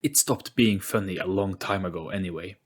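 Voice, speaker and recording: A man's voice: deep voice, a little fast. A man voicing a smug, sardonic trickster spirit in a storybook audio play, breezy and flippant in manner. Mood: flippant, bored. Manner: breezy, flippant, self-satisfied.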